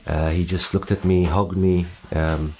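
A middle-aged man with an Arabic accent, telling his own story in a measured, earnest way with pauses. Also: deep voice, level tone, a little mellow, slight background noise. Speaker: documentary interviewee. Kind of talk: reflective personal storytelling.

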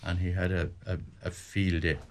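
An older man with a Limerick Irish accent and a low voice recalls past matches, hesitating and restarting as he goes. A faint hiss of noise sits under the line. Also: level tone. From Limerick, Ireland. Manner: reminiscing, halting with restarts. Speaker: radio guest. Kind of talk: casual radio chat about hurling history.

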